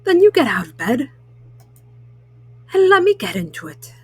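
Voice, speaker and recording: A woman's voice: sits high, sing-song, normal speed, dry recording. A woman voicing a well-meaning, fretful male toad from a storybook, earnest and a little fussy. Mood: grumpy.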